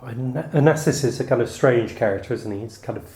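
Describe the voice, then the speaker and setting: A man's voice: mid-range voice, a little fast, a little mellow. A man interviewing in a casual, tentative and hesitant way. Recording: roomy, echoing sound.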